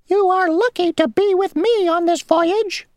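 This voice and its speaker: Woman doing a tiny squeaky pompous mosquito. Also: a little mellow, no echo. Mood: self-important. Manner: slow, rule-bound, boastful.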